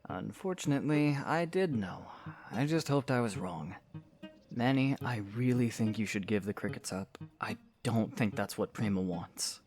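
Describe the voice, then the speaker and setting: A weary young knight, played by a man in a fantasy audio play. His voice sits fairly low, and he speaks with dry, resigned exasperation over soft guitar music. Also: expressive, moderate pace. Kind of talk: fantasy audio drama acting.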